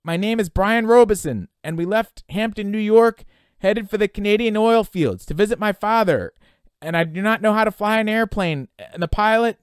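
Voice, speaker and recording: A frightened boy acted out by a man calls out desperately in an audiobook. The voice is pushed high and loud, halting and urgent, in a dry, close recording. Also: clean tone, dry recording, lightly acted. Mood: urgent pleading. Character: terrified boy in a failing plane, desperate, pleading. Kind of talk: dramatic audiobook character voice.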